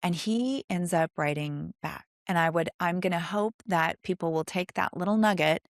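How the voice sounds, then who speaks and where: A woman's voice: on the low side, normal speed, dry recording, clean studio sound. A woman hosting a conversational interview, speaking in an emphatic, enthusiastic way. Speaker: podcast host interviewing an author.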